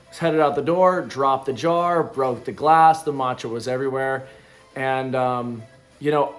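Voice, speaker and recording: Man, 30s, vlogger, casual reflective monologue, rambling delivery, faint background music. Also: mid-range voice. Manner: rambling, loose, full of ums and likes. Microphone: camera in front of him.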